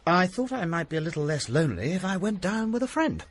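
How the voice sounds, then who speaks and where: A young actor playing a jovial, pushy student in a radio drama, talking in a breezy way. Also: male voice, high voice, big pitch swings, fast. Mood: wheedling. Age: young adult. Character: hearty, sporty young English student.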